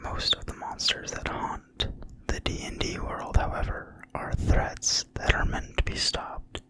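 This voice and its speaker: An ASMR host reading a game manual aloud in a quiet, breathy, unvoiced whisper with deliberate phrasing. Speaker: ASMR video host and reader.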